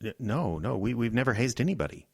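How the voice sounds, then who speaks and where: A man's voice: mid-range voice, sing-song, fast talker, creaky, breathy edge, full character voice. An actor playing a wry, easily exasperated man at a small radio theater, in naturalistic audio drama style. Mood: easygoing, puzzled. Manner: wry, hesitant, joking.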